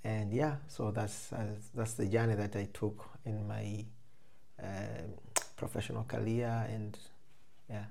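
A man's voice: on the low side, level tone, a little slow, gravelly, background noise. A man with a Tanzanian accent answering interview questions conversationally, reflective and often rephrasing as he explains. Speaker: interview guest, photographer and filmmaker.

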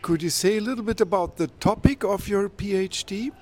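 A man's voice: high voice, fairly quick, a little mellow. A man hosting a podcast, speaking in a formal, polite and courteous interview style. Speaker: podcast host.

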